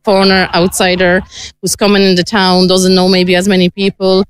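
A woman's voice: fairly low pitch, monotone, a little fast, clear, resonant tone, no room sound. Polish-accented woman, non-native English, earnest, dry recording. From Poland. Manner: earnest, searching for words. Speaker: team player. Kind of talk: casual interview.

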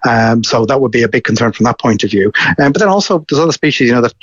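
A man's voice: medium pitch, lively intonation, rapid-fire, husky, dry recording, muffled recording. A man, a bird conservation expert, giving earnest advice in a radio interview and often repeating words as he starts a sentence. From Ireland.

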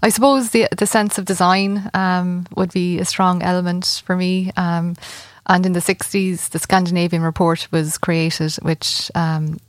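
Woman, Irish accent, late forties, lowish voice, halting with fillers, dry close sound. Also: level tone, dry recording. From Ireland. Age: 40s. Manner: hesitant, many fillers and restarts. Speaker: arts festival organiser, guest. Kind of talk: conversational radio interview.

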